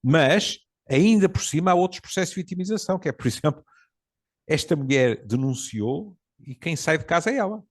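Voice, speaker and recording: A man's voice: on the high side, lively intonation. Reflective Portuguese man in his seventies. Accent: European Portuguese. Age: 70s. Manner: storytelling, reflective, with frequent pauses and fillers. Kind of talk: casual conversation.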